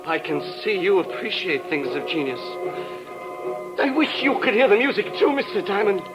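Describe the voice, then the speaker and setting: An actor playing a deranged, dreamy bomber in a 1950s radio crime drama, halting and rapturous as if entranced. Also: male voice, high voice, big pitch swings. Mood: proud, fervent. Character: deranged male bomber rapt by imaginary music. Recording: old radio recording with music behind.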